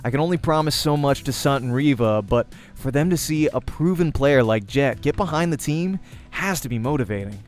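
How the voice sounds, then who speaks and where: A man's voice: medium pitch, expressive, a little fast. Man narrating a visual novel over music. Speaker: let's play host and reader.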